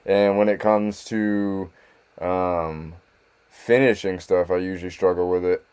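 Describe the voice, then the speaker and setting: A man in his thirties, a guest rapper, chatting casually on a podcast in a halting, self-deprecating way, laughing often. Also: low voice, fairly even intonation, darkish tone, smooth.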